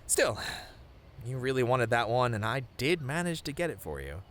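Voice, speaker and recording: A man playing a sweet, expressive bashful boyfriend. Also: medium pitch. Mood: playful, embarrassed. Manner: soft-hearted, halting, sighing, chuckling, gently earnest. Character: sweet, bashful young boyfriend, tender and adoring. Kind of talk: romantic ASMR roleplay monologue to the listener.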